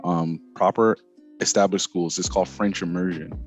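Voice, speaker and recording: A man's voice: deep voice, moderate pace, soft background music. A man in casual podcast chat, eagerly taking the lead to explain his point. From Caribbean. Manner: animated, takes the lead in explaining. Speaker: podcast co-host.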